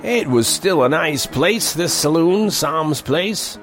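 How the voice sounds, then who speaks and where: Actor as a lively, hard-boiled reporter narrator. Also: male voice, on the high side, slow. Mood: wry, reflective. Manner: wry, hard-boiled storytelling. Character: world-weary newspaper reporter narrating his own western tale. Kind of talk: scripted first-person narration. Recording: music behind the voice.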